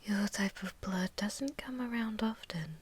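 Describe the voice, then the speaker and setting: Woman acting whispering, slow-spoken seductive vampire warlord. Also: medium pitch, breathy edge. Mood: teasing, possessive. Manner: intimate, slow, languid, teasing, mostly whispered. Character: seductive, possessive, menacing female vampire warlord. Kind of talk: whispered ASMR fantasy roleplay.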